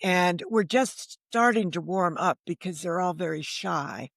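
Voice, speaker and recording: A woman's voice: lowish voice, lively intonation, unhurried, vocal fry, no echo, clean studio sound. An American woman in her seventies chatting in a casual interview, anecdotal and emphatic in how she talks. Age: 70s. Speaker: podcast guest, novelist.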